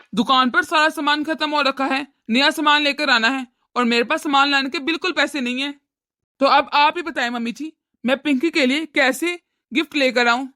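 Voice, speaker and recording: A woman voicing an exasperated, cash-strapped adult son who protests and reasons with his mother in an animated family drama. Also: high-pitched, moderate pace, dry recording, studio quality. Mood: defensive, worried.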